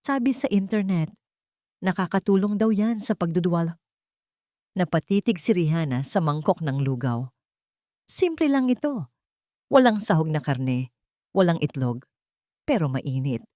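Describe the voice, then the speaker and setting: Female Filipino narrator for romantic audio fiction: dramatic storyteller, expressive pitch movement, dry studio-like sound. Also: a little slow, pauses often, no echo.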